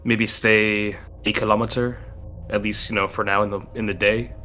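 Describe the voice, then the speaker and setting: A man's voice: on the low side, flat delivery, measured pace, pushed and bright. A man playing a tabletop role-playing game, chatting casually and sometimes speaking for his character.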